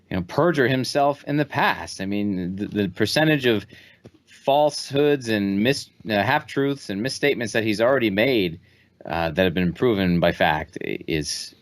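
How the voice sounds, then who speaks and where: A man's voice: fairly low pitch, no room sound. A man in his thirties co-hosting a podcast in casual, conversational political commentary. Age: 30s. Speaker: podcast co-host.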